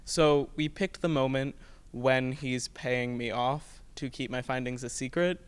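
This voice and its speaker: A teenage male student actor with an American accent, explaining his process in a measured, conversational way during an interview. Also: mid-range voice, clean tone. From United States. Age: teens.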